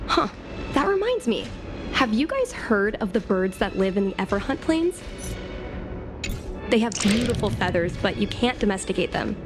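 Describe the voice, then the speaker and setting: A woman's voice: medium pitch, big pitch swings, moderate pace, close to an everyday voice. A woman voicing an easygoing, wistful young woman in casual, conversational video game dialogue. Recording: music playing behind the voice.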